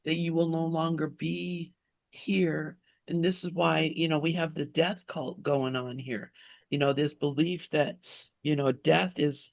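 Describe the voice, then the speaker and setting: A woman in a casual spiritual discussion, speaking haltingly and repeating words as she thinks. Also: deep voice, vocal fry, darkish tone, no room sound. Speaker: discussion group participant.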